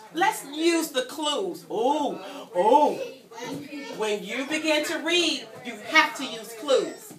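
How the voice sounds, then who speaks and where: High-voiced woman reading to children, roomy sound. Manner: animated, questioning, prompts children to repeat. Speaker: guest reader and teacher at children's story time. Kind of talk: interactive read-aloud to young children. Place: indoor room with a group of children. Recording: roomy, distant mic with children around.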